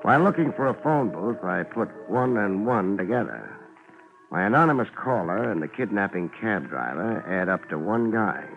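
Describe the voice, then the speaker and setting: An actor playing a wisecracking, world-weary private investigator, narrating and trading lines in a dry, laid-back deadpan in a hard-boiled radio drama. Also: male voice, on the low side, moderate pace, creaky, over music. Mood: wry, laid-back. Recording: muffled, noisy 1950s radio broadcast.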